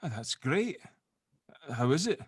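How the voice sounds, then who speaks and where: An actor playing a gruff, clumsy ex-convict father who is keen to please, in a drama table read. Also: mid-range voice, big pitch swings, measured pace, no echo, clean studio sound. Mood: awkward, tentative.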